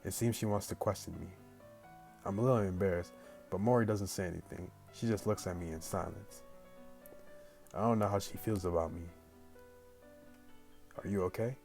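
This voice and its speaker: A man hosting a gaming video, reading a story aloud casually with slangy, animated asides. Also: deep voice, moderate pace, gravelly, long pauses, soft background music. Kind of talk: casual let's play, reading story aloud with excited reactions.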